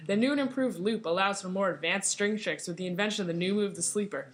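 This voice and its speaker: A woman playing a cheery, salesy pitchwoman reading a scripted 1920s radio advertisement. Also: quick pace, lightly acted. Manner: salesy, enthusiastic.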